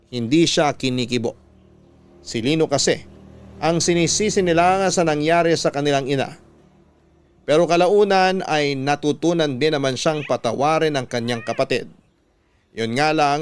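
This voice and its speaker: A man narrating a scripted radio drama in a measured storytelling way. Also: a little high, smooth.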